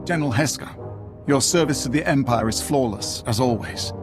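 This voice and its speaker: An actor playing a proud, grave imperial war leader in a video game, speaking in formal, measured oratory. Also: male voice, mid-range voice, music in the background, lightly acted.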